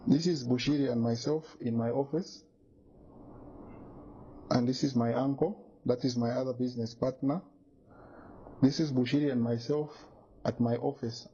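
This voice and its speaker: A man's voice: mid-range voice, steady tone, normal speed, pauses often, mellow, slight background noise. A man from Zambia, making an insistent, indignant statement to the media and repeating his points. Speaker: businessman making a complaint. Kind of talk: emphatic accusatory statement to media. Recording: dull lo-fi recording.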